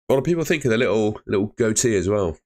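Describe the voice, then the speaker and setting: A young man chatting live with viewers on a stream, laid-back and chatty, his voice fading and hoarse. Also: fairly low pitch, lively intonation, fast talker, a little mellow. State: losing his voice.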